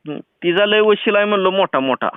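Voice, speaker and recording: A man's voice: high voice, lively intonation, brisk, clear, resonant tone, no echo. A man with a rural Maharashtrian Marathi accent chats casually and insistently as a teasing prank caller, in colloquial speech over a tinny phone-like recording. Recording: tinny, phone-like band-limited sound.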